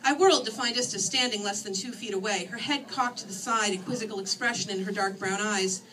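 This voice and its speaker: A woman reading her first-person novel aloud in an expressive, theatrical and wry manner. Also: mid-range voice, a little fast. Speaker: author reading own novel. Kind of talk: animated first-person story reading to an audience. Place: screening room, live reading. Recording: distant microphone in a room.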